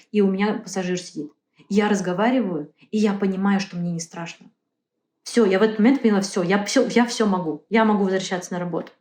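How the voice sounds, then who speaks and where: A woman speaking as an interview guest, earnest and halting, often correcting herself mid-sentence. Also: mid-range voice, a little mellow. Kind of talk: casual interview talk. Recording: roomy echo.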